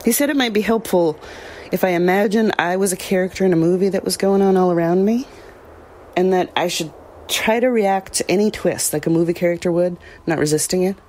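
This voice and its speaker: A woman's voice: on the low side, fairly quick, a little mellow, slight background noise, lightly acted. An actress playing a troubled woman recounting a frightening ordeal in an audio drama, reflective and hesitant. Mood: subdued, haunted.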